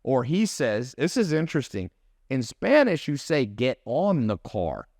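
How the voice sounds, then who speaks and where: Animated man, dry close mic. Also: mid-range voice, unhurried, dry recording. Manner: animated storyteller, mimics accents. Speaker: podcast guest, business mentor. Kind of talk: casual chat.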